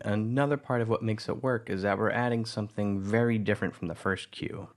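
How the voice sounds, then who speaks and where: A man with a fairly low voice talks through a music-editing tutorial. He keeps it relaxed and conversational, thinking aloud as he explains each trick. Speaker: tutorial narrator.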